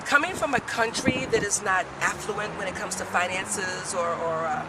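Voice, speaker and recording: A woman's voice: mid-range voice, normal speed. A woman hosting a street interview outdoors in a city, chatty and upbeat, joking about herself. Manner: chatty, upbeat, self-deprecating. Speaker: host. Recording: distant mic with street noise.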